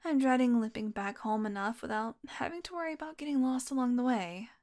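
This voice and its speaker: A woman's voice: a little high, brisk, a little mellow, lightly acted. A woman playing a wounded, exhausted young vampire in an intimate audio roleplay, rambling wearily with sardonic, pained asides. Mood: drained, wry. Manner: weary, rambling, sardonic, with sighs and breathy asides. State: exhausted, in pain. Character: wounded, exhausted young female vampire, wry and foul-mouthed.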